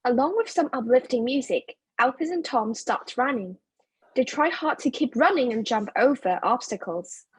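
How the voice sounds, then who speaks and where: A woman's voice: high-pitched, animated, moderate pace, breathy edge, dry recording, low-quality recording. A woman narrating scripted stage directions as the narrator of a play reading.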